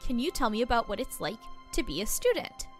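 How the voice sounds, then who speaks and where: Lively, higher-pitched small-town reporter played by a woman. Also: faint music behind. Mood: instructive. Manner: deliberate, instructive, encouraging. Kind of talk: improvised story roleplay podcast.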